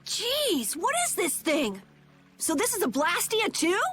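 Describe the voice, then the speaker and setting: A woman voicing a jumpy, excitable young boy adventurer in a video game, whiny and easily startled. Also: very high-pitched, a little slow, soft background music, exaggerated voice. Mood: grumbling. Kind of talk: English video game voice acting.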